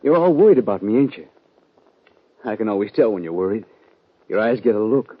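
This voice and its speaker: A man's voice: on the low side, animated, fairly quick, breathy edge. An actor playing an earnest, regretful young cowboy on the run in a 1950s radio western, plain and folksy in his talk. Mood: tender, wistful. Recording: dull, lo-fi 1950 radio recording.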